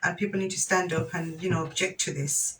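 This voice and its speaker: A woman's voice: fairly low pitch, steady tone, brisk, fairly bright tone, poor audio. A woman, a guest lawyer on a radio discussion, explaining things earnestly and firmly.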